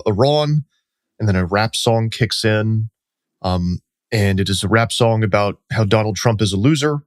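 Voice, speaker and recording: A man's voice: fairly low pitch, no echo, clean studio sound. A man talking as a reporter guest on a podcast, in a loose, chatty way full of "like" and "um.". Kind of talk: casual podcast chat.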